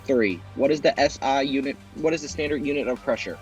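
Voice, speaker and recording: Casual: quiz host guy, snappy announcer voice, bit of music behind. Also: medium pitch. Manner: brisk, announcer-like delivery. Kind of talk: game show hosting.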